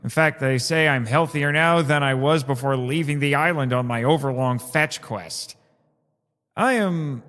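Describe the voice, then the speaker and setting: A man's voice: mid-range voice, fairly bright tone, big-room sound, natural voice. A man playing a weary, sardonic radio host in an audio drama, dry and dramatic, switching between first-person narration and dialogue. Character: sardonic, weary young male radio host who survived a crash.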